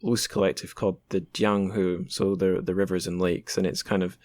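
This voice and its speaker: Low-voiced man hosting a casual podcast. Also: little pitch movement, normal speed, darkish tone, dry recording. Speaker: podcast host. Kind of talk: casual chat.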